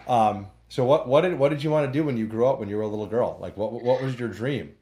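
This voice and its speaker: A man's voice: mid-range voice, fast, dark tone. A man hosting a podcast interview, asking questions in a rambling way with many restarts and fillers. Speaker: podcast host. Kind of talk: conversational interview.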